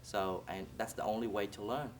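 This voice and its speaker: A man's voice: lowish voice, fairly even intonation, moderate pace, loud music behind the voice. A man whose first language is Vietnamese, speaking hesitantly in English with many fillers in an interview. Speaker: community member. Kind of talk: casual interview.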